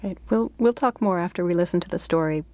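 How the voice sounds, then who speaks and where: A woman's voice: on the low side, quick pace, warm tone. A woman hosting a literary podcast, introducing authors and interviewing them as a fiction editor.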